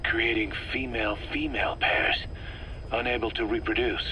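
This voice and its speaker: A man's voice: on the low side, fairly even intonation, moderate pace, pushed and bright, a little hiss or hum, rough recording. An actor playing a skeptical military man in a video game, blunt and questioning. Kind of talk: video game dramatic dialogue.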